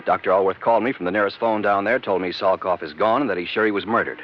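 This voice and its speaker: Male actor as businesslike associate, quick delivery, 1950s radio drama, dull sound, noisy old recording. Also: lowish voice, close to an everyday voice.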